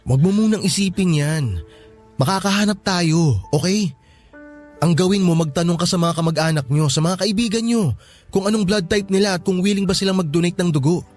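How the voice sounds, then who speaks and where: An actor playing a calm, reassuring young male hospital worker in a radio drama, speaking soothingly and earnestly. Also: high-pitched, fairly quick, faint music behind. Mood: calm, serious.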